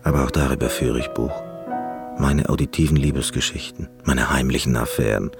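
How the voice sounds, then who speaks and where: A man's voice: a bass voice, fairly even intonation, a little fast, gravelly, music in the background. An actor playing an eccentric, obsessive, reclusive male pianist in a radio drama, rambling and theatrical in an intimate confessional way. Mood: intimate, yearning. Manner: rambling, theatrical, self-absorbed.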